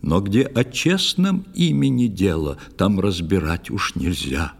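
A man's voice: low voice, lively intonation, unhurried, gravelly, breathy edge, in a large hall, full character voice. A man voicing a stubborn old fisherman father, blunt and insistent, in a storybook audio play. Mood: stern, insistent.

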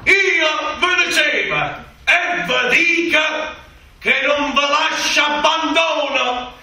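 A man's voice: very high-pitched, exaggerated voice. A man playing a buffoonish small-town politician, declaiming pompously in satirical stage oratory. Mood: bombastic. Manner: pompous, shouted, drawn-out declamation. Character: bombastic male small-town politician haranguing citizens, buffoonish demagogue. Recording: echoey hall from far off, faint noise.

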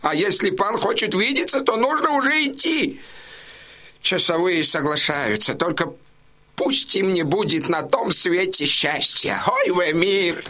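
An actor playing a wily, pleading, deferential go-between in a theatrical audio drama, exclaiming and repeating himself. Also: male voice, sits high, sharp tone, exaggerated voice. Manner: wheedling, exclamatory, repetitive.

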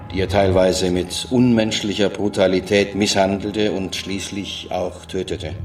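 A man's voice: lowish voice, darkish tone, distant mic, close to an everyday voice. An actor reading as a detached male expert, delivering a formal psychiatric assessment in clinical tones.

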